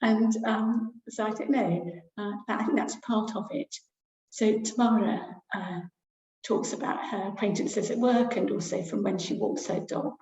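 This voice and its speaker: A woman's voice: mid-range voice, fairly even intonation, a little slow, dark tone. Hesitant woman lecturing on a roomy webinar. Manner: hesitant, many fillers, thinking aloud. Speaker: university lecturer presenting research. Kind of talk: academic webinar talk. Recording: roomy, dull lo-fi webinar audio.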